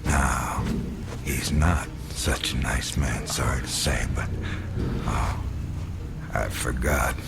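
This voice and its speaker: Slow, low-voiced, sardonic soldier. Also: male voice, vocal fry, exaggerated voice. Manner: drawling, mock-friendly, sardonic. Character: taunting, folksy, cruel soldier guarding a condemned man. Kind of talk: radio drama acting.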